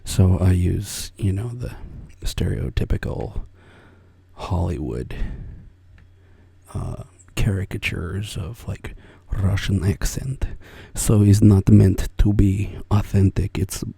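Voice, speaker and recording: An older man chatting softly in a whisper as an ASMR artist, rambling and soft-spoken. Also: deep voice, slow, vocal fry, breathy edge, dry recording.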